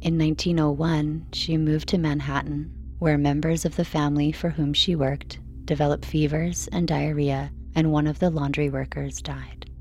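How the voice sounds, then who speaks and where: A woman reading a scripted sleep story in a slow, soothing narration style. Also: sits low, little pitch movement, darkish tone, soft background music.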